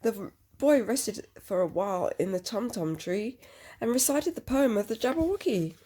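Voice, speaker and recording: A woman with a husky voice reading a picture book aloud to a child, expressively, with chatty questioning asides. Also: mid-range voice, animated, normal speed, warm tone.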